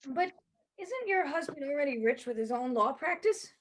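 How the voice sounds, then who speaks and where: High-voiced, courteous woman detective, played by an actress. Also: expressive, slight background noise. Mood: skeptical. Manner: hesitant, measured questioning. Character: female private investigator, courteous, cautious and skeptical. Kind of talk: staged play reading.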